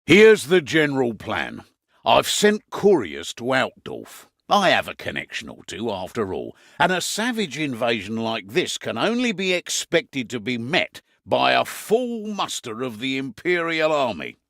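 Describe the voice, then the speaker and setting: An actor playing a gruff, weary middle-aged British innkeeper turned spymaster, briefing in a wry, conversational way for a video game. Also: male voice, medium pitch, huge pitch swings, measured pace, fairly bright tone, no echo, heavily acted. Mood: wry determination. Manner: wry, conversational briefing with dry asides and trailing afterthoughts.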